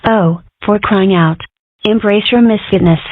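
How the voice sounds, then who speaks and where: A woman's voice: mid-range voice, a little slow, no room sound, close to an everyday voice. A woman voicing a brash, eccentric cartoon schoolgirl who declares things bluntly and theatrically in an amateur comic dub. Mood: exasperated. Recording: thin, phone-like lo-fi audio.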